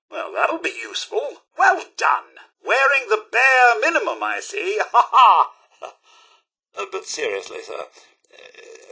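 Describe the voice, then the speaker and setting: An actor playing a prim, cheery, sardonic British robot butler in a video game, with a fussy, over-polite manner and dry sarcasm. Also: male voice, high voice, bright, forceful tone, breathy edge, full character voice. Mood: jaunty, then prim disapproval. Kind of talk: video game companion voice lines.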